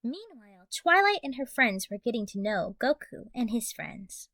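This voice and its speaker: Bright, animated woman storyteller. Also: fairly high pitch, normal speed, dry recording. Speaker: narrator of a fan fiction audio play. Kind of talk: scripted storytelling narration.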